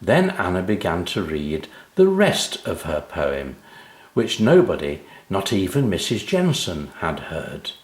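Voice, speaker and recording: A man reading a scripted children's story as narrator, in an expressive and deliberate storytelling manner. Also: low-pitched, reverberant. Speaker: author reading his own story.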